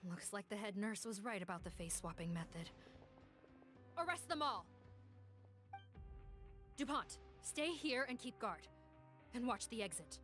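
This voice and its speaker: A woman's voice: mid-range voice, expressive, measured pace, long pauses, fairly bright tone. An actress playing a commanding, determined female police officer in a video game, with a serious, authoritative manner. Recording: game music behind the voice.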